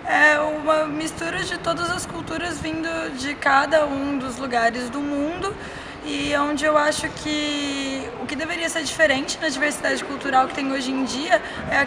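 Brazilian woman in her 20s, high voice, earnest, outdoor station noise. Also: fairly even intonation, normal speed. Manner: earnest, opinionated, lists examples. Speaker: interviewee. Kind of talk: street interview answers. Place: outdoor train station platform.

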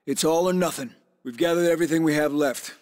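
An actor playing a gruff, commanding middle-aged special forces soldier in a military shooter game, giving clipped, urgent orders. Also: male voice, fairly high pitch, quick pace, a little mellow, close to an everyday voice. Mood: grave, resolute.

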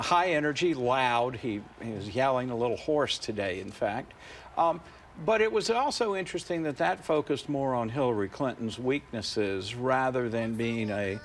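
A man in his fifties, a poll director and studio guest, explaining politics in a measured way, with fillers and restarts, on a TV studio couch and a lapel mic. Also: mid-range voice, slight background noise. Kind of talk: analytical studio interview. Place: TV studio set, seated on a couch.

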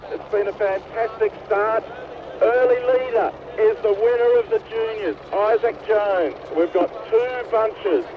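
A man on a boat calling the positions in a live swim race, reporting briskly over a radio link. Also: high voice, lively intonation. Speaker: boat commentator. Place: on a boat out on the water. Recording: tinny radio link with noise.

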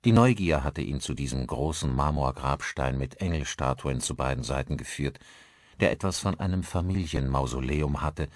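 Deep-voiced male audiobook narrator. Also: dry recording, clean studio sound. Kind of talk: scripted narration of a mystery horror novel.

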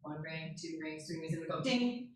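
A woman's voice: sits low, a little fast. American woman presenting in a very echoey room. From Connecticut, USA. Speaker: co-presenter at a museum talk. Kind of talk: informal presentation with family reminiscence. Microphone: far room camera or microphone. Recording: very echoey room, distant mic, audience noise.

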